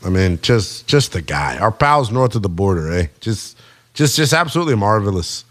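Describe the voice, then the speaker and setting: A man's voice: lowish voice, sing-song, moderate pace, a bit bright. A man hosting a sports call-in radio show with playful, theatrical, teasing banter. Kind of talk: animated sports talk radio.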